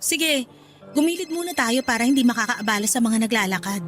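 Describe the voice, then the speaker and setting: A woman's voice: on the high side, animated, fairly quick, fairly bright tone, soft background music. An actress playing a suspicious, jealous wife in her thirties in a radio drama. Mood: casual, complaining. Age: 30s. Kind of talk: Tagalog radio drama acting.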